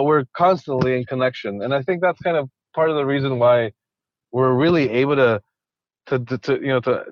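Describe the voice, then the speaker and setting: Man from Ecuador, guest, halting speech, full of restarts, dull dry recording. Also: medium pitch, dry recording. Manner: halting, many restarts and repeated words. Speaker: guest, ocean conservationist. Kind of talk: casual interview chat.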